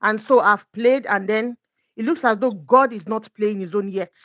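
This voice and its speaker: A woman's voice: mid-range voice, normal speed, a bit bright, dry recording, muffled recording. A woman speaking as a guest coach in a conversational faith talk, explaining her points earnestly through examples.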